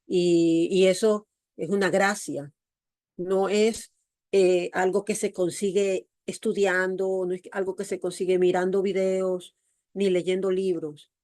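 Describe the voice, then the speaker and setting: A woman's voice: lowish voice, little pitch movement, slow, darkish tone, no room sound, studio quality. A middle-aged woman with an Ecuadorian accent gives a personal religious testimony, repeating words as she searches for the next one. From Guayaquil, Ecuador. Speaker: guest speaker giving testimony. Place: online meeting.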